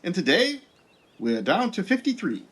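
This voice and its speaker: An actor playing a blunt, deadpan male Pilgrim governor in a comedy sketch. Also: sits high, moderate pace, full character voice. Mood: amused, matter-of-fact. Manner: matter-of-fact, darkly deadpan.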